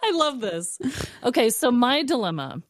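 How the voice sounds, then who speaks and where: A woman's voice: fairly high pitch, big pitch swings, normal speed, dry recording, studio quality. A woman in her thirties hosting a podcast, telling stories casually and candidly for laughs. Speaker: podcast host. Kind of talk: casual comedic storytelling.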